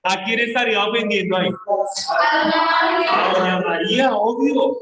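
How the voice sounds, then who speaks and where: A man's voice: very high voice, very animated, noisy background. Colombian man teaching with echoey sound. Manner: explains slowly, stretching key words. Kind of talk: online class lecture.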